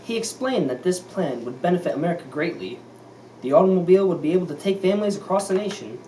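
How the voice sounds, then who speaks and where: A man's voice: high-pitched, expressive, fast, warm tone. A man playing a wholesome, eager 1950s co-anchor in an amateur scripted mock newscast. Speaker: news anchor. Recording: distant mic, faint noise.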